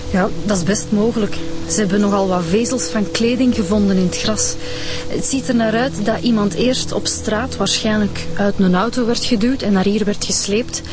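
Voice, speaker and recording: A woman's voice: medium pitch, normal speed, over music, natural voice. An actress playing a friendly, matter-of-fact Flemish female detective in a radio drama. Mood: explanatory.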